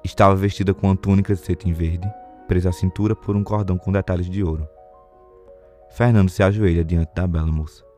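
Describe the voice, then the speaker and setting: A man's voice: sits low, fairly even intonation, brisk, dark tone, soft background music. A Brazilian man reading scripted narration for a radio soap opera.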